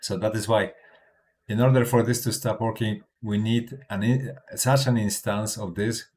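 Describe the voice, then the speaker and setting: Deep-voiced man, non-native English, halting. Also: steady tone, darkish tone. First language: not English. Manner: halting, with frequent restarts and tag "right?"; chuckles between points. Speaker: crypto trading streamer. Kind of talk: casual livestream chart commentary.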